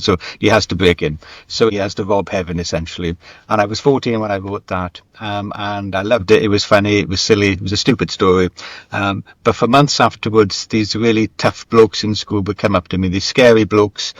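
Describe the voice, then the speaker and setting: Older-ish british guy, low voice, dry close sound, thinking out loud in an interview. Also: dry recording. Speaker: writer being interviewed, script editor and teacher. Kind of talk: reflective interview conversation.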